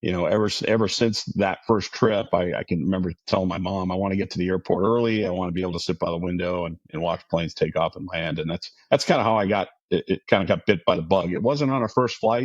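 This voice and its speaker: A middle-aged man from Massachusetts talks in a low voice, friendly and rambling, full of restarts and little fillers. The sound is dull and dry, like a remote lo-fi recording. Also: fast talker, hardly any pauses, dry recording. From Massachusetts, outside Boston. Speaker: aviation insurance guest. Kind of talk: casual interview chat.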